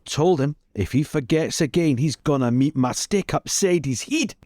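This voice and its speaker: A man voicing a gruff, folksy old man from the west in a tabletop game. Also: a little high, animated, moderate pace, a little mellow, no echo, clean studio sound, close to an everyday voice. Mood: grumpy.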